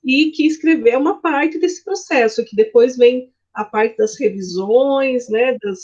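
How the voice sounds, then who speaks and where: Woman host, muffled mellow voice. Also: high-pitched, a little slow. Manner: long winding questions, frequent "né". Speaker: host, interviewer. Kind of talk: conversational interview questions. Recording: dull, muffled audio.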